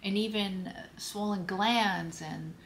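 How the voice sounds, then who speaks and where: A hoarse older woman speaking slowly and haltingly to the camera from her home office, telling a personal health story to her viewers. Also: mid-range voice, slight background noise. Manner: slow, halting, searching for words. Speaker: lifestyle vlogger.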